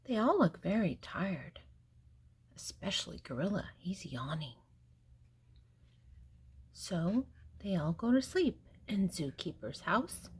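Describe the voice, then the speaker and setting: An older woman reads a picture book to small children at a very leisurely pace. Her voice is husky and a bit low. She explains things gently and simply, leaving pauses as she goes. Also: big pitch swings. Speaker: storybook reader and host. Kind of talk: reading a picture book aloud to young children.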